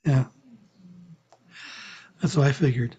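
An older man with a dark, husky voice in casual conversation. He meanders through stories and corrects himself. The audio is somewhat dull and lo-fi. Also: mid-range voice, slow pace. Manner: meandering storytelling, self-correcting. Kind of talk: casual chat.

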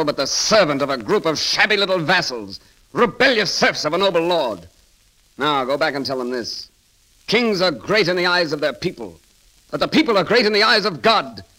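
An actor playing a witty, bold and romantic grand constable in an eloquent, theatrical 1930s radio style. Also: male voice, a little high, pushed and bright, poor audio.

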